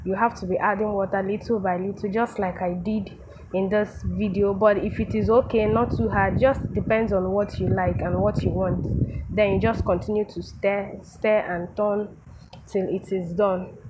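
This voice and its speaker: A woman's voice: medium pitch, steady tone, moderate pace. A woman from Nigeria hosting her cooking channel, explaining steps and leading a casual food review at a home table. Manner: chatty, instructive, says "um" often. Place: home dining room, seated at a table. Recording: noisy home recording.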